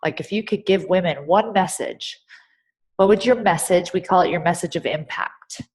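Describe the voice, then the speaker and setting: A woman with a somewhat low voice coaches a guest on her podcast. She asks probing questions and keeps her tone encouraging. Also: moderate pace, darkish tone, low-quality recording. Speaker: podcast host and business coach. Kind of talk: coaching conversation.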